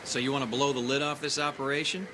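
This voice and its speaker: An actor playing a discontented newcomer at a research lab in an audio drama, casual, wry and sarcastic. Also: male voice, mid-range voice, flat delivery, fast, background noise, lightly acted. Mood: wry, probing. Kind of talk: naturalistic audio drama acting.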